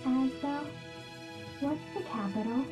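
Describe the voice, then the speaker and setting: A woman's voice: high-pitched, takes it slowly. A woman playing an innocent, blunt and naive young girl, plain and unemotional, in a video game dub. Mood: blunt, curious. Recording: muffled sound with music behind.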